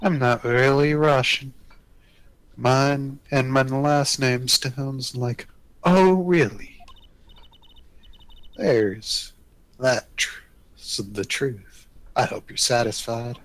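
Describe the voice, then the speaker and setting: A man's voice: mid-range voice, drawn-out words, pauses often, a bit bright, dry recording. A man voicing a sullen, defiant female card dealer in a video game, curt and grudging. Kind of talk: video game dialogue read aloud.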